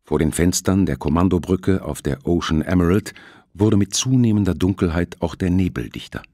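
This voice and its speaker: A man's voice: deep voice, normal speed, dark tone, no room sound, studio quality. A man narrating a thriller audiobook in a scripted, storytelling style.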